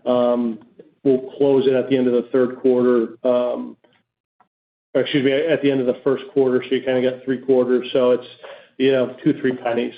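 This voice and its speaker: A man answers questions on a corporate earnings call as a company executive. His delivery is measured and halting, with a lively rise and fall in pitch. The audio is thin and narrow, like a telephone line. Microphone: conference call line.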